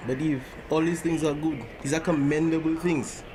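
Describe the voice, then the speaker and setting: A man's voice: fairly high pitch, fairly even intonation, moderate pace, lightly acted. Man playing a kindly priest, noisy location sound. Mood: reassuring. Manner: gentle, reassuring, fatherly. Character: kindly, older-generation priest gently counselling a parishioner. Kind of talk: dramatic acted dialogue.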